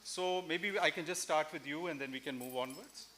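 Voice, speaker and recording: A man in his forties from Pakistan moderating a festival panel on a stage mic, measured and reflective, thinking aloud with a few fillers. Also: a little high, pushed and bright, slight background noise. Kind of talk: panel discussion at a literary festival. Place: large indoor festival stage with audience. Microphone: stand mic.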